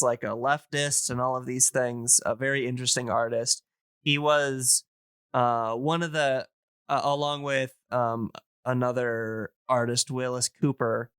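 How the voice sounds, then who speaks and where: A man in a casual explanatory podcast chat, talking in a hesitant, halting way as he thinks aloud. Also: mid-range voice, a bit bright, clear, resonant tone, dry recording, clean studio sound.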